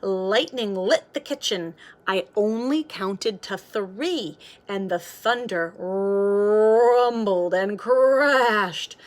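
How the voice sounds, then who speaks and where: A woman reading a children's picture book aloud like a teacher, with expressive, dramatic delivery. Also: mid-range voice, drawn-out words. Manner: expressive, dramatic sound words and hushed moments.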